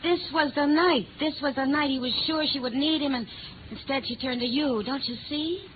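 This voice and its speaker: Old noisy radio, woman acting guarded club dame, highish voice, 1950s drama style. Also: normal speed, phone-quality sound, close to an everyday voice. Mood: anxious, confessing. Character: defensive, worldly nightclub woman under questioning.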